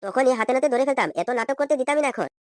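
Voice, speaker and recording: A man's voice: very high voice, racing, no room sound, studio quality. A man voicing an exasperated, insistent husband in a comedy cartoon. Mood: questioning. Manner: insistent, questioning, reproachful. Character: exasperated, nagging cartoon husband questioning his wife.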